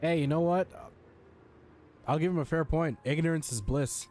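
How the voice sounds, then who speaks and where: A man's voice: fairly high pitch, moderate pace, darkish tone. A young adult man doing casual gaming playthrough commentary in a slangy, crude, joking way. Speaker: gaming streamer. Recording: faint background noise with game ambience.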